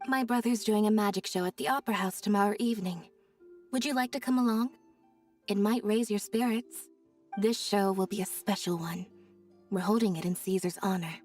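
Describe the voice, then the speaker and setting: An actress playing a composed, polite young woman in a fantasy video game, measured and understated. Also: mid-range voice, fairly even intonation, darkish tone, recorded from a distance, faint music behind, natural voice. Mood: calm, inviting.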